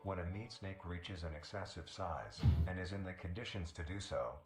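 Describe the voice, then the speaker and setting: A man reading scripted documentary narration in a measured, formal, detached manner. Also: sits low. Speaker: narrator of a mock nature documentary video. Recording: roomy echo with music. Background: music.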